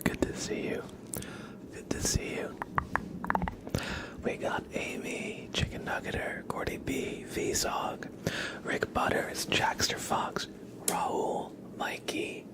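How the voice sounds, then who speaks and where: A man hosting an ASMR livestream, chatting casually and softly in a gentle, rambling way, often slipping into a whisper. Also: slow, pauses often. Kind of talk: soft-spoken ASMR with casual livestream chat.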